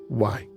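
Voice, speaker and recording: An actor playing a withdrawn, self-blaming male scholar in a video game. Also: fairly bright tone.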